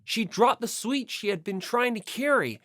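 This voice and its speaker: A woman's voice: on the high side, lively intonation, normal speed, fairly bright tone, no room sound, clean studio sound. A woman reading a first-person story as a scripted audiobook narration.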